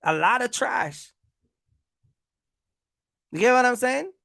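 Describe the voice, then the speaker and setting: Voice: medium pitch, lively intonation, slow, vocal fry, bright, forceful tone, no echo, clean studio sound. A content creator delivering a casual, confrontational rant, repeating points and throwing out rhetorical questions. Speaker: YouTube content creator, trucking vlogger. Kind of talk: casual rant to camera, calling out rivals.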